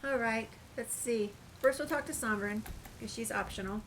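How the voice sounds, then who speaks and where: A woman's voice: a little high, moderate pace, a bit bright, a little hiss or hum, poor audio. A woman gamer giving a chatty, casual walkthrough commentary, reading dialogue options aloud as she plays. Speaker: gamer narrating a game walkthrough.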